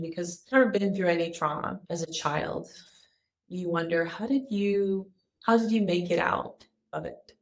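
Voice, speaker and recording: A woman talking to camera in a casual personal vlog, calm and earnest, with a soft voice she calls calming. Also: on the low side. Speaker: wellness vlogger.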